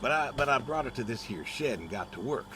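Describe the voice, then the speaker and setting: An actor playing a weathered, stubborn older pig farmer in a video game, with a wry, folksy, hesitant way of telling things. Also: male voice, mid-range voice, lively intonation, background noise, lightly acted. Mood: wistful, wry. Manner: folksy, hesitant, unhurried storytelling. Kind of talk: naturalistic video game acting.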